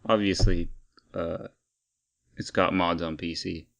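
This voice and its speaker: A man's voice: sits low, fairly even intonation, takes it slowly, gravelly, a loud crowd. A man co-hosting a podcast, chatting casually and explaining gaming news in an informal, bantering way. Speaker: podcast co-host.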